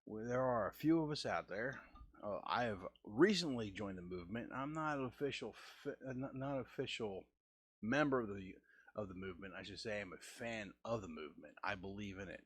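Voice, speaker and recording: A man in his forties hosting a casual art show, talking in a halting way with frequent stammers and restarts. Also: expressive, slightly rough. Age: 40s. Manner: halting, restarts and stammers, deliberate lowered delivery. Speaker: host. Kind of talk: casual art-stream chat.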